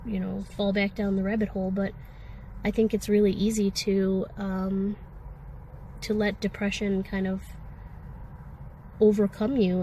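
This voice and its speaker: A woman's voice: medium pitch, dark tone, a little hiss or hum, poor audio. Congested woman vlogging candidly. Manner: candid, rambling, many fillers. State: congested with a sore throat. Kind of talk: casual personal vlog talk.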